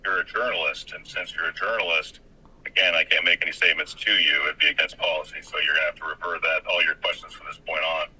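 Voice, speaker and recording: An American man speaking as a police lieutenant on an official phone call, hesitant and repetitive but formally polite, with a husky voice. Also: a bass voice, steady tone, fast talker, pushed and bright.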